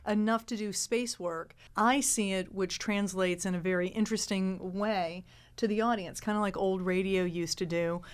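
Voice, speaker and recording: A middle-aged woman with a smooth, clear voice, chatting casually on a panel and explaining things emphatically. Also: mid-range voice, steady tone, normal speed, hardly any pauses. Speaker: improv performer and podcast actor. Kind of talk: casual panel chat, telling anecdotes.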